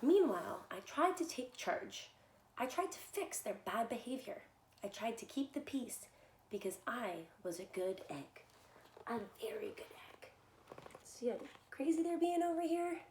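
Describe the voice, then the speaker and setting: A woman with a fairly high voice reads a story aloud for little kids. Her delivery is animated and expressive, as if speaking straight to a young audience. The room is clearly echoey, with slight noise behind her. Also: a little slow. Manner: animated, addresses listeners with simple questions. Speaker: children's story reader and soccer coach. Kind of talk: expressive read-aloud of a picture book with asides to young children.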